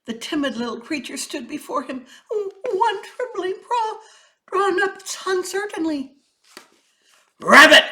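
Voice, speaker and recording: Female storybook narrator: dramatic, unhurried, very expressive pitch, bright tone. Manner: dramatic, deliberate. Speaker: children's story reader.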